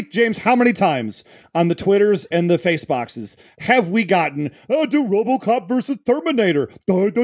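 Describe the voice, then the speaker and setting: A man's voice: high voice, no room sound, clean studio sound. An American man from the Detroit area co-hosting a comic debate, emphatic and bombastic, repeating phrases for effect. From Detroit area, Michigan, US. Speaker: podcast co-host and debater.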